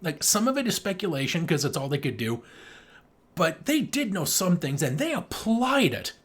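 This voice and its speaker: Animated, enthusiastic podcast co-host. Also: mid-range voice, moderate pace, husky. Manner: enthusiastic, emphatic, frequently swearing. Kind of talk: casual film review chat.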